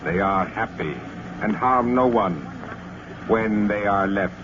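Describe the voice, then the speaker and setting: An actor playing a solemn, ominous West Indian man telling island legends in grave, formal words, in old radio drama style. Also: on the low side. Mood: solemn storytelling. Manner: slow-building, grave storytelling with formal wording. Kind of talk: 1940s radio drama acting. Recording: noisy, muffled old radio recording.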